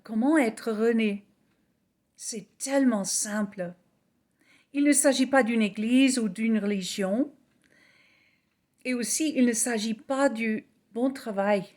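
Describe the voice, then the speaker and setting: Slow earnest woman preacher. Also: a little high, a little mellow. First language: English. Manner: earnest, measured, reading aloud. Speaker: evangelist. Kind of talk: slow scripted gospel message.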